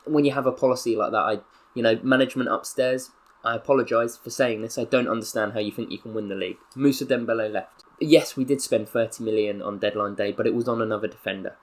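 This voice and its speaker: A man's voice: medium pitch, quick pace. A young man with a Southern English accent, playing a career-mode footballer who reads out a mock-solemn press statement. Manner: mock-solemn with bursts of excitable commentary. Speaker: gaming YouTuber.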